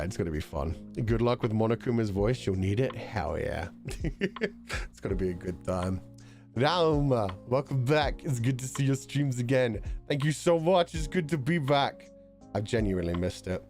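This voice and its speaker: A man's voice: on the low side, a little mellow. A man livestreaming and chatting casually with viewers while reading their donations, talking through a sore, unwell throat in an easygoing way, laughing often and swearing casually.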